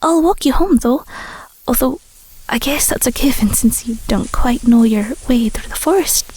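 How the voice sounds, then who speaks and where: A woman playing a tender, sentimental young Scottish werewolf girlfriend in an intimate audio roleplay, speaking softly and breathily with a gentle, affectionate manner. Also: a little high, animated, moderate pace, rough recording. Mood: fond, curious. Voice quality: soft, breathy, whispery. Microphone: close mic, dry.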